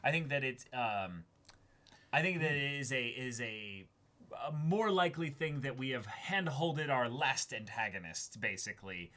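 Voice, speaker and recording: A man's voice: medium pitch, lively intonation, measured pace, pushed and bright. A man co-hosting an anime reaction show, talking casually and often repeating and rephrasing himself as he works through his thoughts. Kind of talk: casual discussion.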